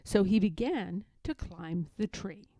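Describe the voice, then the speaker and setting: A woman narrating a children's story aloud as an expressive storyteller. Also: mid-range voice, slow pace, dry recording, dull audio.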